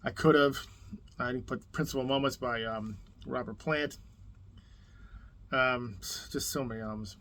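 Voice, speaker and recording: A man with a thick New Jersey accent talks his way through a casual countdown of favorite albums. His voice sits at an ordinary middle pitch for a man. He rambles with enthusiasm, stumbling over restarts and fillers as he goes. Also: fairly even intonation, unhurried, long pauses, fairly bright tone. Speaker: YouTube host.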